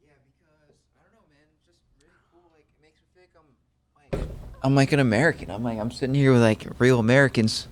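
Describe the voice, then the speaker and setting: A man's voice: medium pitch, steady tone, quick pace, long pauses. A young man from Russia co-hosting a podcast, talking in a loose, rambling way with plenty of swearing. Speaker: podcast co-host. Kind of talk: casual chat.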